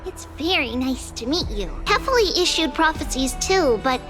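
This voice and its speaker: A woman's voice: high-pitched, expressive, normal speed, music in the background. An actress playing a polite little oracle girl in a video game, making formal announcements.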